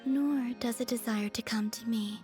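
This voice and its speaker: Woman as a soft, high-voiced enigmatic moon goddess maiden. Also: natural voice. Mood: serene, aloof. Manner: measured, formal, deadpan. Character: serene, enigmatic young moon goddess maiden, aloof and formal. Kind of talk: English video game dialogue acting. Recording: faint music behind the voice. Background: faint background music.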